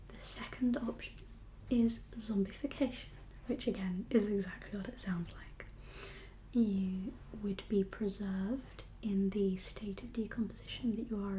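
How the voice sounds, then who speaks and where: Lady whispering asmr doctor roleplay, slow n gentle, mellow soft tone, bit of background noise. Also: medium pitch, steady tone. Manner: gentle, unhurried, reassuring professional. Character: kindly doctor for undead patients. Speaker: ASMR performer playing a doctor.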